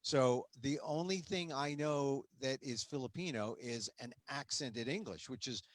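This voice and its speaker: A man hosting a conversational interview, animated and quick to interject, often laughing as he talks. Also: medium pitch. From United States. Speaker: host.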